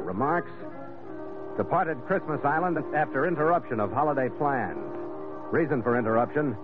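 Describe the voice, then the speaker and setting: Actor as clipped sea captain, tinny radio. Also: male voice, medium pitch, close to an everyday voice. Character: steady, terse, wry seafaring captain narrating his ship's log. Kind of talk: 1940s radio acting, scripted first-person narration. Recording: narrow-band old radio recording. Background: dramatic music.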